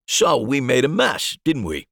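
Man reading as reassuring magician, patient, coaxing, lively pitch swings, creaky edge, audiobook dialogue. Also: a little slow, no echo, studio quality, full character voice. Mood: upbeat, coaxing. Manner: patient, leading questions, simple encouraging phrases. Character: reassuring magician coaxing someone gently through a trick.

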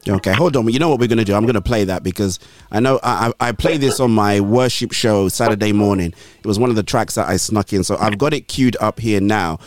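A man's voice: on the low side, brisk. A man hosting a gospel radio interview from a studio, often restarting his words and encouraging his guest in a preachy manner. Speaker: radio show host.

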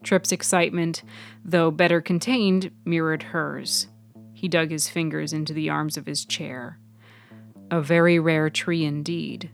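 Lower-pitched woman narrating over music. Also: steady tone, unhurried, creaky. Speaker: writer and narrator of fiction podcast. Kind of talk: scripted narration. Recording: piano music behind the voice.

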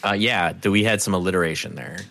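A man's voice: low voice, fast talker, sharp tone. A man talking as an interview guest, loose and enthusiastic, swearing casually as he chats. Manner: loose, enthusiastic, freely profane. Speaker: podcast guest, audio drama co-creator.

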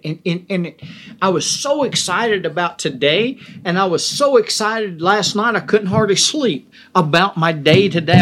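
A man's voice: fairly high pitch, fairly bright tone. Profane, rambling male podcast host. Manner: profane, rambling, emphatic with many restarts. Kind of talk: casual solo podcast monologue.

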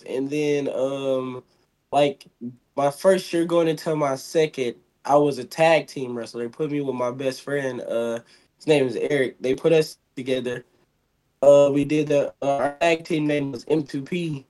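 A man's voice: fairly high pitch, darkish tone. Young guy from mississippi, light voice, rambles a lot with fillers and restarts, chatting in an interview. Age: 20s. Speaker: guest, pro wrestler. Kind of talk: casual interview.

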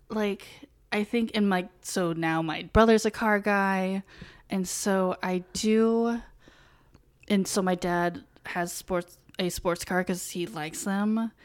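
A woman in her thirties with an American accent talks casually as a podcast co-host. Her pitch sits in the middle for a woman, and she slips into mock quotes and salty language as she goes. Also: slow. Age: 30s. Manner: animated storytelling with mock quoted voices and swearing. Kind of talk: casual chat about films and sports.

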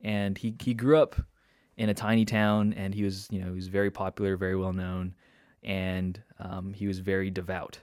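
Young man from Indonesia, rambling conversational guest with a low voice, dry close sound. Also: level tone, normal speed, darkish tone, clear, resonant tone, dry recording. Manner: rambling storyteller, many fillers and restarts, laughs often. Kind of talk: casual conversation.

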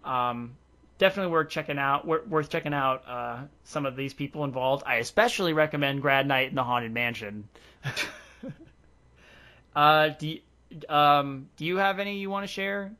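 A man's voice: fairly high pitch, normal speed, sharp tone. A man hosting a podcast, chatting casually and rambling with frequent laughs. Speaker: podcast host. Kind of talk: casual chat.

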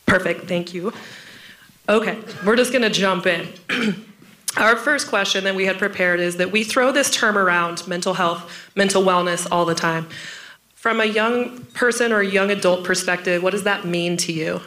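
A woman's voice: mid-range voice, normal speed, pushed and bright. Scratchy-voiced woman moderating a panel. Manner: casual, self-deprecating, chatty. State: hoarse.

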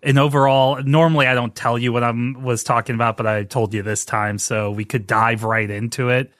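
A man's voice: mid-range voice, level tone, brisk, a bit bright, dry recording. Chatty man hosting a podcast, dry sound. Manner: chatty, storytelling, rambling with restarts. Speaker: podcast host. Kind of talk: casual podcast chat.

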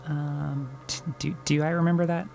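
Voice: mid-range voice, little pitch movement, moderate pace, vocal fry, music in the background. A man playing in a tabletop game, chatting casually with the group. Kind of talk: casual chat.

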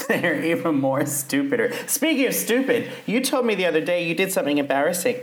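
A man's voice: fairly high pitch, big pitch swings, brisk. Chatty, laughing male podcast host. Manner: chatty anecdotal storyteller, laughs often. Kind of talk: casual comedic chat, storytelling. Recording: roomy echo with faint noise.

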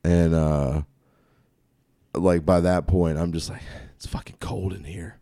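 A congested man with a deep, dark voice rambles through a story, swearing freely and laughing at his own jokes. The sound is close and dry, with no room around it. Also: fairly even intonation, measured pace, vocal fry, no room sound. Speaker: podcast co-host. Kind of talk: casual profane banter.

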